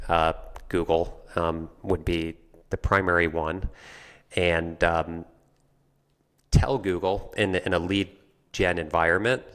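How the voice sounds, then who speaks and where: A man with a notably low, deep voice speaks as a podcast guest. He explains things in a conversational, unscripted way, as if talking them through with a colleague. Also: a bit bright, clear, resonant tone.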